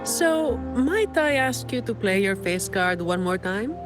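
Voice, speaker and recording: A woman playing a composed, helpful informant in a video game, briefing an ally in a measured, explanatory way. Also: mid-range voice, expressive, natural voice. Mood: friendly, businesslike. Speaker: game character giving briefing and lore. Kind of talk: scripted video game dialogue. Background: instrumental music.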